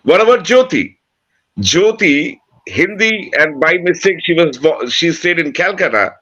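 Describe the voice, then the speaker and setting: A man's voice: fairly high pitch, sing-song, a little slow, bright, forceful tone, muffled recording. A man with an Indian accent, a native Bengali speaker, talking off the cuff as a panelist, emphatically repeating phrases and posing questions that he answers himself. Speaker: panelist on a talk show. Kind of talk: animated unscripted panel talk.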